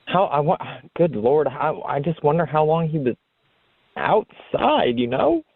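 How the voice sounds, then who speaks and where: A man's voice: fairly high pitch, very animated, measured pace, vocal fry, breathy edge, dry recording. An American man on a phone call with police, speaking in a flustered, defensive manner. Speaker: caller being questioned by police. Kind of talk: phone conversation. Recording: tinny lo-fi recorded phone call.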